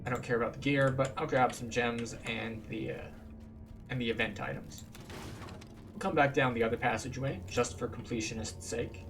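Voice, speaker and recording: A man's voice: medium pitch, fairly even intonation, normal speed, over music. A man giving casual, explanatory walkthrough commentary as a video game guide. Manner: informal, explanatory. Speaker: gaming guide host.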